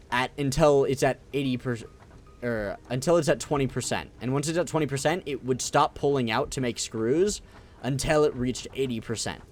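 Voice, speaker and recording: A man's voice: mid-range voice, slight background noise. A man hosting a casual gaming stream, joking and rambling as he builds. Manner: joking, rambling, lots of fillers. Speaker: streamer host.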